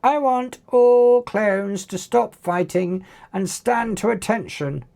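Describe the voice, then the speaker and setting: Audiobook boy villain, acted by the narrator: raised pitch, drawn-out commanding calls. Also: gravelly, a little mellow. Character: gleeful boy villain commanding an army of clones.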